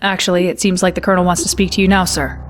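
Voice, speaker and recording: A woman playing a brisk, wry military intelligence officer, giving a scripted mission briefing in a crisp, confiding manner. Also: on the low side, flat delivery, faint music behind, close to an everyday voice.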